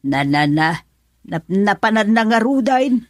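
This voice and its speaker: A woman's voice: mid-range voice, big pitch swings, a little slow, dry recording. A woman playing an older, hesitant and soothing mother in an Ilocano-accented radio drama.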